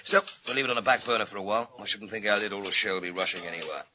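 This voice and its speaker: An actor playing a British detective inspector in a radio thriller, polite and conversational, with slightly halting speech. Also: male voice, lowish voice, bright, forceful tone, a little hiss or hum. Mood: brisk, decisive. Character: male police detective inspector, hesitant, courteous, matter-of-fact boss. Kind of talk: naturalistic radio thriller acting.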